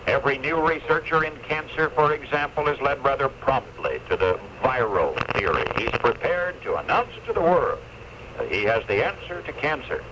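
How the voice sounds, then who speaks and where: Folksy older radio commentator on noisy AM. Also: male voice, medium pitch, very animated. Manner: deliberate, folksy, earnest phrasing. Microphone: radio played through headphones into cassette recorder. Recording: noisy lo-fi 1976 AM radio recording.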